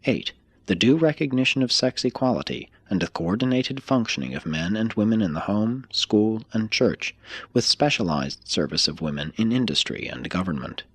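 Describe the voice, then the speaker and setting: Expressive low-voiced man reading aloud. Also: normal speed, breathy edge, no echo. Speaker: reader of a spiritual text. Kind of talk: scripted audiobook-style reading.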